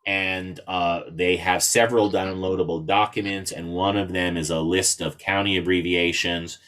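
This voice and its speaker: Low-voiced man giving an informal club talk. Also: fairly even intonation, moderate pace, a bit bright. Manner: explanatory, spells out codes in phonetic alphabet. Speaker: invited club speaker, presenter. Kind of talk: informal instructional presentation to a radio club.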